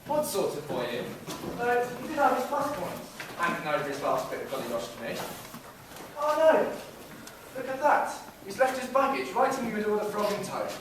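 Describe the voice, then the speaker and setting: A man's voice: sits high, wildly swooping pitch, normal speed. A man playing a cocky, wisecracking London stray cat in a theatrical stage pantomime. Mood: scheming. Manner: theatrical, calls out to the audience. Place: stage in a school hall. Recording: echoey school hall, distant mic, noisy.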